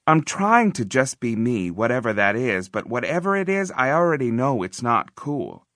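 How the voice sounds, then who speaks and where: A man reading a first-person children's novel as an audiobook narrator, expressive, confiding and conversational, with emphatic phrasing. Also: medium pitch, moderate pace, no echo, studio quality.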